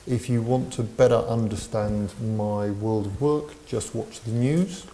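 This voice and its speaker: A man's voice: fairly low pitch, steady tone, measured pace, dark tone, slight background noise. Wry man in his fifties, echoey. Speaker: panelist. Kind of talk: conference panel introduction.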